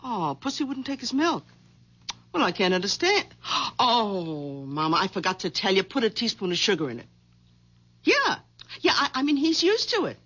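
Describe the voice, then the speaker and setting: On a dull old radio recording, a nagging, oblivious wife. Her pitch swings widely as she orders, fusses and complains, utterly sure of her rule over the household in a comic thriller. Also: huge pitch swings, normal speed, fairly bright tone. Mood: fussy, chatty. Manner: bossy, fussy, complaining. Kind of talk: 1970s radio drama acting.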